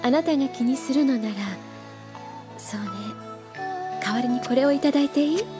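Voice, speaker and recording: A woman's voice: sits high, moderate pace, music in the background, natural voice. A woman playing an elegant, composed older schoolgirl with refined, ladylike poise in an anime audio drama. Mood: tender.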